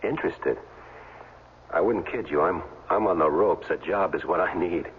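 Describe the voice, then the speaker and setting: A man's voice: low-pitched, moderate pace, breathy edge. A man acting in a 1970s radio drama as a wry, colloquial former football player down on his luck. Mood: guarded, bantering. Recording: dull old radio recording, music underneath.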